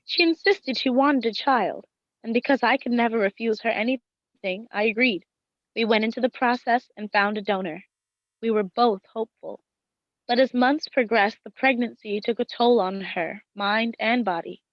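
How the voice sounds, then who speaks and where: An actress playing a devoted, worried wife in a gothic radio play, speaking in formal, literary phrasing. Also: female voice, a little high, moderate pace, no room sound, lo-fi sound, close to an everyday voice. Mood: somber, wistful. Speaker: actress in an audio drama. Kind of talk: dramatic first-person radio play narration and dialogue.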